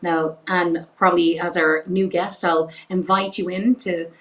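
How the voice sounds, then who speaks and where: A woman's voice: lowish voice, little pitch movement, a little slow, a bit bright. A woman with a head cold hosting a patient panel discussion and introducing guests. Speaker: host.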